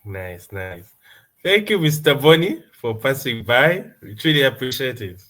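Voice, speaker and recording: A man's voice: medium pitch, big pitch swings, measured pace, fairly bright tone. A man interviewing a podcast guest, speaking in brief, affirming interjections and short questions. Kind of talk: casual interview.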